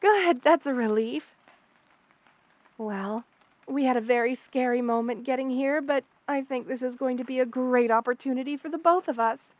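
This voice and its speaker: A woman voicing a kind, motherly spider queen in an ASMR roleplay, soft-spoken, doting and reassuring as she speaks to the listener. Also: sits high, moderate pace, dry recording, natural voice. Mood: tender, relieved. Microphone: close studio mic, dry.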